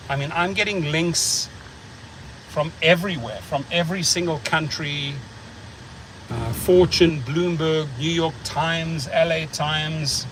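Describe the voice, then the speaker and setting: A man's voice: on the high side, fairly bright tone, a little hiss or hum, low-quality recording. A middle-aged man with a South African accent hosting a casual livestream chat, rambling and blunt, repeating words as he thinks. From United States. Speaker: channel host.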